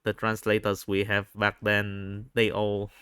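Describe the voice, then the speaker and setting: A man with a Vietnamese accent recording a spontaneous, unscripted audio log, speaking haltingly and often rephrasing himself. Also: on the low side, completely flat delivery, pushed and bright, clean tone, dry recording, studio quality. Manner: halting, repeats and rephrases phrases.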